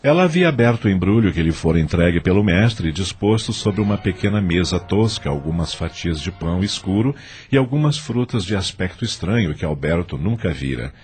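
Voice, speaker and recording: Um homem com sotaque brasileiro narrando uma novela de áudio a partir de um texto. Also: male voice, deep voice, lively intonation, fairly quick, darkish tone. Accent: Brazilian. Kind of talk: scripted audio drama narration.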